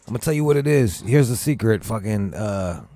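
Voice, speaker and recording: A man's voice: lowish voice, lively intonation, fairly quick, dark tone, faint music behind. A man from Michigan hosting a radio show, chatting in loose, profane, laid-back banter. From Pontiac, Michigan. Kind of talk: casual radio interview chat. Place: radio studio.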